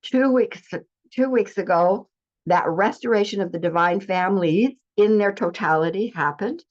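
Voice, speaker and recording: A woman's voice: medium pitch, moderate pace. Woman, spiritual teacher, earnest declarative delivery, mid-range voice, slightly dull lo-fi recording. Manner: earnest, declarative, with frequent restarts.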